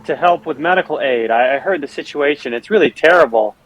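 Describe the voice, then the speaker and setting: An earnest corporate representative in a sci-fi roleplay, played by a man, speaks in a somewhat high voice. The manner is placating and smooth-talking, like an official offering help. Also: a little fast, close to an everyday voice, rough recording.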